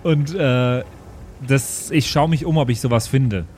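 A man's voice: mid-range voice, measured pace, darkish tone, a little hiss or hum. A man playing a tabletop role-playing game, speaking casually in character with earnest, naive-sounding reasoning.

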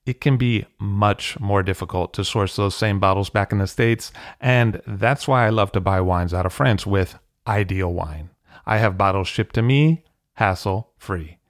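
A man hosting a podcast, asking brisk, upbeat questions and reading ads. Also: lowish voice, no room sound. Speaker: podcast host. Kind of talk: podcast interviewing and ad read. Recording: dry close-mic studio sound.

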